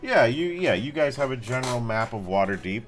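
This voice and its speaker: A man's voice: mid-range voice, expressive, a little fast, soft background music. A man running a tabletop game as game master, talking casually in a rambling, hesitant way as he improvises and rephrases.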